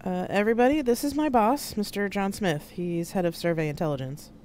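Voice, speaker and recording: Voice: medium pitch, a little fast, darkish tone, a little hiss or hum, voice pushed up, natural voice. A narrator voicing a dutiful, earnest male agent in an audiobook story. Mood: awkward, polite. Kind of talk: audiobook character dialogue.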